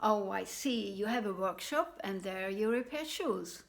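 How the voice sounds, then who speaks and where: A woman's voice: medium pitch, lively intonation, measured pace, creaky. A woman voicing a curious king in a fairy tale, who keeps asking probing, doubtful questions. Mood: inquisitive.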